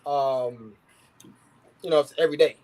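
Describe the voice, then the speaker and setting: A man with a notably high voice hosts a loose livestream talk show. He talks in easygoing slang and keeps checking in with listeners. His delivery is relaxed and conversational. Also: measured pace, low-quality recording. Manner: laid-back slang, repeats "you feel what I'm saying".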